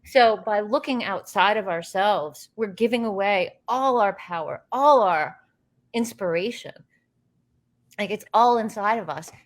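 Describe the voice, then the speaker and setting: A woman from the United States, a guest in a casual interview, telling animated, rambling stories with many fillers and restarts. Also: medium pitch, measured pace. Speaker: interview guest, actress and filmmaker.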